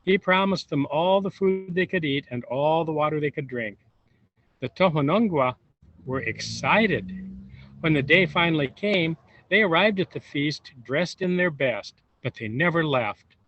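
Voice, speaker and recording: A man reading a storybook aloud to young children, expressive and unhurried, pausing for wondering asides. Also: fairly high pitch. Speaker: volunteer storybook reader. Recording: roomy echo, dull lo-fi sound.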